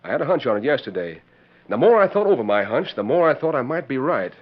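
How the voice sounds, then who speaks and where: Folksy, animated frontier deputy. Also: male voice, medium pitch, quick pace. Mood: earnest, insistent. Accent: rural American West. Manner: folksy, hesitant. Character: earnest, henpecked frontier deputy and husband. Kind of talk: 1940s radio western acting. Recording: dull old 1940s radio recording.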